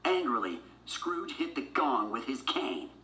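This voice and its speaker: A woman's voice: rumbling low, sing-song, measured pace, sharp tone, reverberant. A woman narrating a children's adventure storybook from a script. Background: music.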